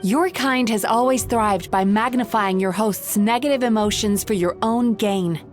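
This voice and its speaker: An actress voicing a gentle but resolute young heroine in a video game, earnest, composed and articulate. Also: female voice, mid-range voice, unhurried, faint music behind, close to an everyday voice. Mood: firm, reproachful. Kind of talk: scripted video game voice acting.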